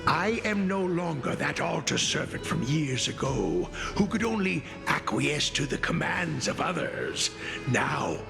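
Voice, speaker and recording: A man playing a haughty, zealous priest in a video game, scornful and menacing, with a gravelly voice and pompous delivery. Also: a little high, sing-song, heavily acted. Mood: threatening. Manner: pompous, slow, drawn-out. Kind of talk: dramatic video game voice acting. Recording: reverberant hall, music underneath.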